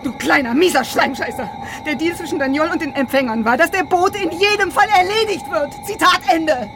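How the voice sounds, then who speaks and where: An actress playing a tough, hot-tempered police investigator who is blunt, commanding and sarcastic, in a radio crime drama. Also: female voice, extremely high pitch, brisk, music in the background. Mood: furious, shouting.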